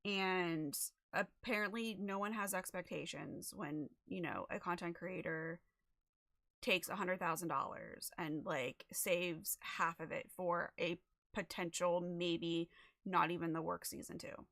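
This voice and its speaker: A woman chatting casually as she sets up a livestream, a little raspy and losing her voice, rambling with many fillers into a desk microphone. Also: on the low side, measured pace, fairly bright tone. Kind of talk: casual chat while setting up a stream.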